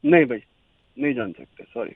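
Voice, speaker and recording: A man's voice: mid-range voice, big pitch swings, normal speed, slightly rough, no echo. A man answering a phone call, curt and increasingly annoyed. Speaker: prank call target.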